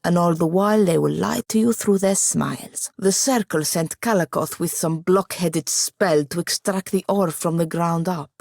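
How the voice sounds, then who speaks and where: Fantasy game wizard, young woman: lowish, fry-laden, proud and wry, formal wording. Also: moderate pace, hardly any pauses, darkish tone, no room sound. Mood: wary, accusing. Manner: dry, wry, formal phrasing. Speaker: game companion character. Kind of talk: scripted video game dialogue.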